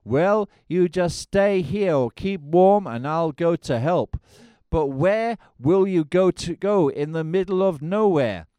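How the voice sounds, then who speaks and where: A man's voice: a little high, expressive, takes it slowly, dark tone, smooth, dry recording. A man voicing an earnest, square young fiancé who hums and frets. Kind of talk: parody of a musical film.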